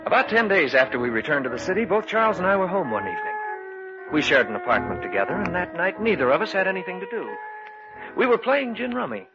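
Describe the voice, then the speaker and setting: A man's voice: high voice, big pitch swings, fast talker. An actor playing an uneasy man who tells his eerie story in old-time radio suspense style. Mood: grave, apprehensive. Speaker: narrator and lead character. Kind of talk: 1950s radio suspense narration and acting. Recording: muffled vintage radio, loud music behind.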